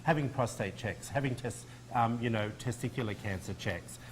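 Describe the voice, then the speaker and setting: Brief: male TV host, mid-pitched voice, upbeat interviewer. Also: moderate pace. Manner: upbeat, chatty.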